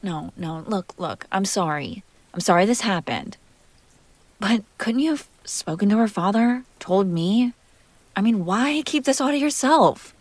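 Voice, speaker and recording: Woman acting jealous young sweetheart, fiercely protective, intimate audio roleplay, medium pitch, emphatic halting delivery, close dry microphone. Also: animated, darkish tone, dry recording. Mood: apologetic, soft, then frustrated, upset. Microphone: close microphone, dry sound.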